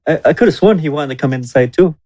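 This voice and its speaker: A man's voice: a little high, expressive, fast talker, a little mellow. A man playing a tabletop roleplaying game, bantering casually in character with a jovial, teasing manner.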